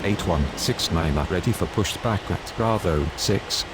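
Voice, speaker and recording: A man's voice: low voice, a little slow. A man giving scripted air traffic control radio instructions. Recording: loud engine-like background noise.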